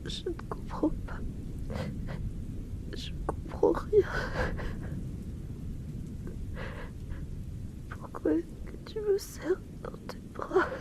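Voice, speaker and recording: A woman playing a shy, self-loathing young male outcast in a hesitant, breathy whispered ASMR roleplay. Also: very high-pitched, rough recording. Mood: bewildered, tearful. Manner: hesitant, apologetic, halting.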